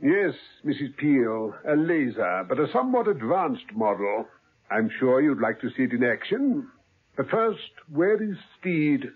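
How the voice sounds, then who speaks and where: An actor playing a gloating middle-aged male scientist villain in a 1970s radio drama, husky and mocking. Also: medium pitch, sing-song, a little slow. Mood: gloating menace. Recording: tinny old radio broadcast.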